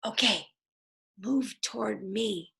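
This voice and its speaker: A woman's voice: medium pitch, takes it slowly, creaky, noisy background. A woman voicing an anxious, bossy young boy who gives urgent, emphatic commands in a storybook reading. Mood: urgent, commanding.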